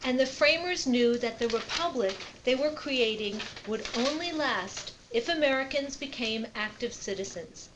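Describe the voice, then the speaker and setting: A woman with a somewhat high voice gives prepared opening remarks. She is earnest, formal and measured, with slight noise in the recording. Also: fairly bright tone, low-quality recording. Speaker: constitution center representative giving opening remarks.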